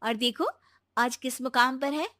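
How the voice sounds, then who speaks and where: A woman voices a confident young wife in a cartoon family drama. Her fairly high voice reasons earnestly and persuades gently, recorded dry and close. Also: unhurried, no room sound. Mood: encouraging. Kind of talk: Hindi cartoon story dubbing.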